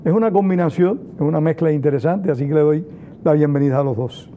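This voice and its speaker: A male TV host with a slightly high voice, introducing guests in a measured, formal manner. The sound is thin and tinny, like a phone line, with a faint hiss underneath. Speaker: TV interview host. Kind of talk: formal TV interview.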